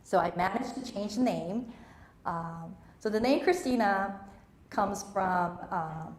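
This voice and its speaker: A woman's voice: mid-range voice, takes it slowly, reverberant. A young woman, a native Korean speaker raised in Southeast Asia, giving an emphatic motivational talk on stage and repeating her key phrases. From Korea, raised in Malaysia and Singapore.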